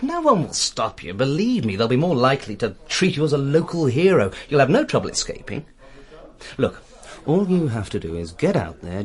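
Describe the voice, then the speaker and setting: An actor playing an ambitious, stubborn British army officer and helicopter pilot in a radio drama, with an intense, persuasive manner. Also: male voice, mid-range voice, natural voice.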